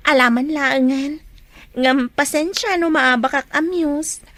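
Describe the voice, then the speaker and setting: A woman's voice: high-pitched, unhurried, a bit bright, dry recording. A woman playing a shy, modest young student in a Filipino radio drama, with a hesitant, demure manner. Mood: unsure.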